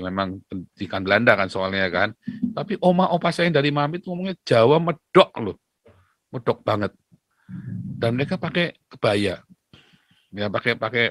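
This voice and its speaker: A man talking casually as a host, opinionated and animated, mocking and fond of rhetorical questions. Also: fairly high pitch, no echo. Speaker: talk show host.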